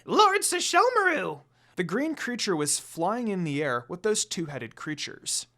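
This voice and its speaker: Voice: mid-range voice, big pitch swings, measured pace. A narrator reading a scripted fan fiction story aloud.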